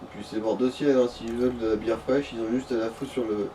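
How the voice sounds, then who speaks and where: A man with a dark, mellow voice of medium pitch makes casual remarks on a game. He sounds some way from the microphone, with clear noise around him. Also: fairly even intonation, fast talker. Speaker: companion commenting on the game. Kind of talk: casual chat. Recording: distant mic, noisy background.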